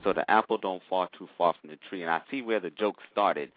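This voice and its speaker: A man's voice: sits low, a little fast. A man chatting casually as a caller to a talk show, with a folksy, polite way of talking. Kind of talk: casual chat on a call-in show. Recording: thin phone line.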